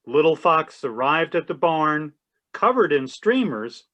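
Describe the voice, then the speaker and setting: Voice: medium pitch, sing-song, measured pace, a bit bright, muffled recording. A man narrating a children's storybook aloud in a readers theatre. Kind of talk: storybook reading.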